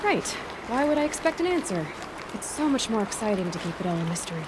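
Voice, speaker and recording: A woman's voice: mid-range voice, sing-song, fast talker, noisy background, close to an everyday voice. A woman playing a wry, defiant young huntress in a video game, with a sarcastic, dry manner. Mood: sarcastic, irritated. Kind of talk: video game dialogue acting.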